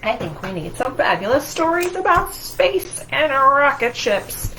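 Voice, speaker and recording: A woman's voice: high-pitched, big pitch swings, unhurried, sharp tone, slight background noise, low-quality recording. A woman children's librarian leading a storytime, speaking to young children in an encouraging, singsong way. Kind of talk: children's storytime with movement and read-aloud.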